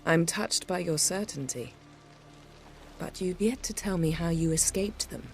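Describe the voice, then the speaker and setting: An actress playing a shrewd, self-assured sorceress in a video game, articulate and drily sardonic. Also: female voice, on the low side, measured pace, soft background music, close to an everyday voice. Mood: reflective, softened. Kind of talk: video game cutscene acting.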